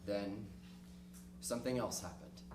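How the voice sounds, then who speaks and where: A man acting a lonely, self-doubting high school boy with a sarcastic, self-deprecating streak in a dramatic stage play reading. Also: a little slow, close to an everyday voice. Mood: wistful. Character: lonely, self-doubting male high school student narrating his life. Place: theatre stage, live performance. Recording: distant, echoey, noisy theatre recording with hum.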